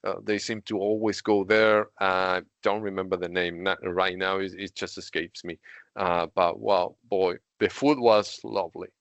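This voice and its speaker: A man's voice: low-pitched, fairly even intonation, normal speed, fairly bright tone, dry recording. A middle-aged man from Argentina, a podcast guest who tells stories in casual chat and laughs often. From Argentina, Patagonia. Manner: laughs often while telling stories, many fillers.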